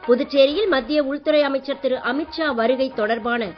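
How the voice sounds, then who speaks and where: Woman reading radio news, high voice. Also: soft background music. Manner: measured, even delivery. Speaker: newsreader. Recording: dull lo-fi radio broadcast.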